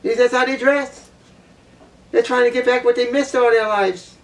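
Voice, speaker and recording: A woman with a low voice giving a blunt opinion monologue, repeating her points for emphasis. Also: fairly even intonation, moderate pace, far from the mic, rough recording. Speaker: vlogger.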